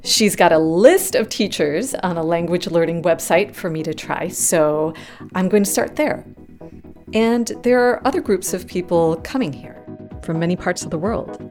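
A woman's voice: lowish voice, darkish tone. A woman reporter telling a story in a conversational podcast style.

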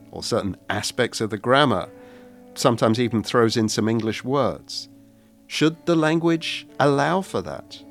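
A man hosting a radio documentary, narrating in a measured, reflective way and posing questions. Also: medium pitch. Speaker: radio host.

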